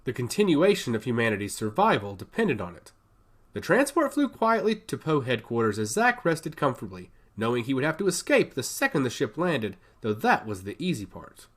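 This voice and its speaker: A man's voice: mid-range voice, big pitch swings, fairly quick. A man reading scripted science fiction action narration in an emphatic, theatrical way.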